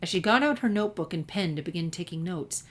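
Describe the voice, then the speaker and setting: A woman reading a scripted story aloud in expressive narration. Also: on the low side, quick pace. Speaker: fan fiction reader.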